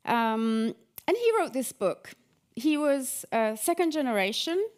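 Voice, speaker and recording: A woman's voice: high voice, lively intonation, unhurried, a little mellow. Woman professor giving a conference talk. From Italy and the UK. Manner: conversational, with frequent fillers and restarts. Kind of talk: academic conference presentation.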